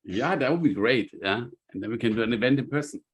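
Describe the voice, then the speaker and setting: A man's voice: medium pitch, animated, fast talker, breathy edge. A man from Vienna, an executive coach chatting hesitantly on a video call. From Vienna, Austria. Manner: hesitant, many uhs. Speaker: guest speaker, executive coach. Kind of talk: casual chat. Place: home in Silicon Valley.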